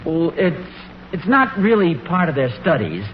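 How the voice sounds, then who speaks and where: A man's voice: fairly high pitch, very animated, normal speed. An actor playing an earnest, hesitant suburban American dad in an old-time radio family comedy, eager to impress his sons. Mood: flustered. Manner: hesitant, mild, stammering. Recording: muffled, noisy old radio broadcast recording.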